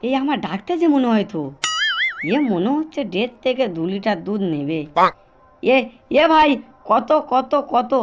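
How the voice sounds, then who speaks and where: A man playing a grumbling, exasperated village milkman husband in a comedy cartoon, repeating phrases excitedly. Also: very high-pitched, unhurried, long pauses, muffled recording, over music, full character voice. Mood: irritated. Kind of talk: Bengali comedy cartoon dialogue.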